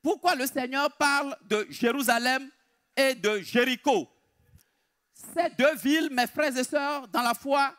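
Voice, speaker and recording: A man preaching a sermon in an emphatic, deliberate way, repeating his key phrases. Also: very high voice, fairly bright tone.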